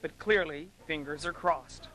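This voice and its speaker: A man delivering a scripted arts news report as a correspondent, in a measured, polished way. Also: on the high side, very noisy.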